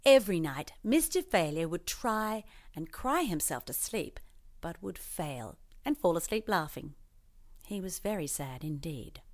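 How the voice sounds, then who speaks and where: Woman reading a storybook with lively pitch. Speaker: storybook narrator. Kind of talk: scripted children's storybook narration, read as parody.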